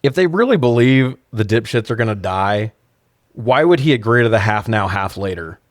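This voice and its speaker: A man's voice: on the low side, lively intonation, moderate pace, no echo, clean studio sound. A man co-hosting a podcast, giving a casual, comedic, sweary recap of a movie in slangy talk. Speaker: podcast co-host.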